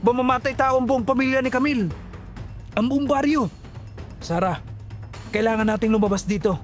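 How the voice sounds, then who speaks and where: A man's voice: very high-pitched, moderate pace, faint music behind, voice pushed up. A man playing a panicked, enraged young survivor in a horror audio story, frantic and emotional. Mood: outraged, urgent.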